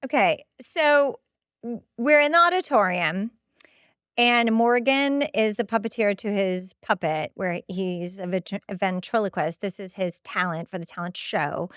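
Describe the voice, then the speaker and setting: A woman hosting a casual TV rewatch podcast, talking in an animated, conversational way with incredulous asides. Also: medium pitch, measured pace, clear, resonant tone, dry recording, clean studio sound. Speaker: podcast host.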